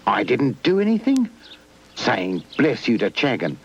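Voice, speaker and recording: A man voicing an excitable, sulky young puppet who yelps with indignation in a children's story. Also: medium pitch, measured pace, vocal fry, breathy edge, pitched above the performer's own voice, exaggerated voice. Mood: furious muttering. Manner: yelping, indignant. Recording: muffled old VHS tape, music underneath.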